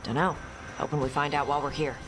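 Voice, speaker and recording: Woman playing a blunt, low-voiced warrior woman, music behind. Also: little pitch movement, fast talker, lightly acted, rough recording. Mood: focused, blunt. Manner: terse, blunt, casual. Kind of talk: video game cutscene acting.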